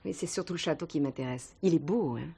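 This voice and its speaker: An actress playing a friendly, inquisitive novelist on holiday, politely eager for answers, in a television play. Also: female voice, low-pitched, fast, vocal fry, dark tone, slightly rough, heavily acted. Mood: probing, curious. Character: curious, friendly holidaying woman novelist digging for secrets. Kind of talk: 1970s French television play dialogue.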